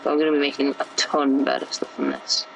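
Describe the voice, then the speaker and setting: A teenage boy with a sore, croaky throat, rambling casually through gameplay commentary with many fillers and restarts. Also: medium pitch, very animated, quick pace, fairly bright tone, slight background noise, rough recording. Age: teens. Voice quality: gravelly.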